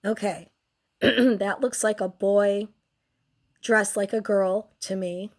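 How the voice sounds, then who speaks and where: A young woman talks through a bad cold, her voice close to gone. She speaks slowly and draws out her words. Her commentary is sardonic and crude. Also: mid-range voice, fairly even intonation. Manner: crude, sardonic, slow and drawn out. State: sick, nearly lost voice. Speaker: host. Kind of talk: casual commentary on photos.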